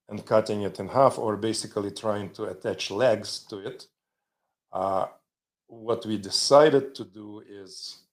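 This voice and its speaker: A man hosting a live show, rambling and chatty with joking asides. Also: lowish voice. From Ukraine. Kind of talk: casual live-stream demo.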